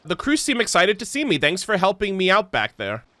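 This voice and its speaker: A man giving casual, jokey, slangy commentary as he streams a video game playthrough, with an excitable manner. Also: high voice, a little fast, dry recording. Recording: game music behind voice. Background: video game music.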